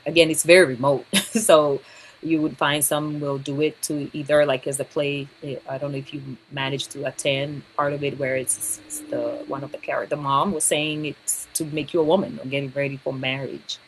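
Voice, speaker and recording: A woman from Kenya explaining things in a discussion, thinking aloud in a halting way. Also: low-pitched, level tone, a little hiss or hum, low-quality recording.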